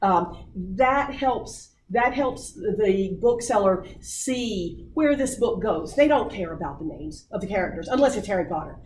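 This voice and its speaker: A woman leading a writing workshop, coaching people on their book pitches with many fillers. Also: animated, measured pace, hardly any pauses, a little mellow, slight background noise. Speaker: workshop presenter. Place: meeting room. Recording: roomy distant audience recording.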